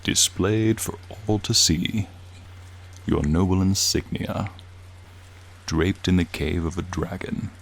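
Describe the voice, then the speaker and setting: A man's voice: slow, long pauses, exaggerated voice, low-quality recording. A man voicing a friendly, charming frost dragon in a soothing, courtly, admiring manner, in an ASMR roleplay with rain behind him. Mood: admiring, charmed. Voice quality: low, gravelly, soothing. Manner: courtly, leisurely, warmly attentive. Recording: steady rain sounds behind the voice. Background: rain falling.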